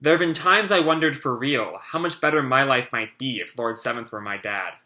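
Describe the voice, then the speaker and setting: A man's voice: mid-range voice, a little fast, sharp tone, natural voice. A man reading aloud a comic, voicing an earnest teenage girl ninja in a wistful way.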